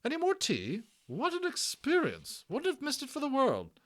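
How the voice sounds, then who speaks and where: A man voicing a hearty, bustling middle-aged British hospital matron in a storybook, with a brisk, gushing, exclamatory manner. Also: very high-pitched, wildly swooping pitch, a bit bright, voice pushed up, heavily acted. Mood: flustered, exhilarated.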